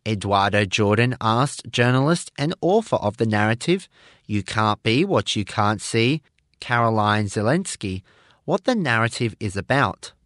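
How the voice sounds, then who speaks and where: Lively male newsreader, dry sound. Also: medium pitch, no room sound. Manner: read-out, formal news delivery. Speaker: radio news presenter. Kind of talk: scripted news introduction.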